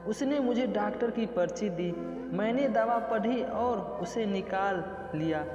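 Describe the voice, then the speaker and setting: A man's voice: high-pitched, measured pace. A man narrating a scripted moral story in the first person. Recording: muffled, echoey reverb with loud background music. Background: music.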